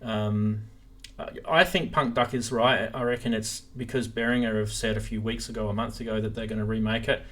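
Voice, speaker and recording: A man's voice: fairly low pitch, steady tone, fairly quick, a bit bright. A man hosting a casual live stream about gear, talking informally and enthusiastically straight to his viewers.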